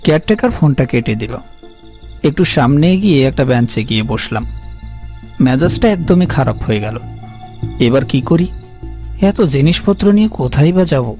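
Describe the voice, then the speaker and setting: A man playing a polite, uneasy traveller who narrates an eerie animated ghost story in the first person. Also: a little high. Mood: frustrated, hesitant. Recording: faint music behind the voice.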